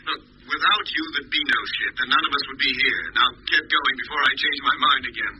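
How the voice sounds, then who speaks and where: A man's voice: on the high side, fairly even intonation, fast, lightly acted. Very noisy radio, earnest space doctor male actor. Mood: earnest, firm. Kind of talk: 1950s radio drama acting. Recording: dull, very noisy old radio intercom.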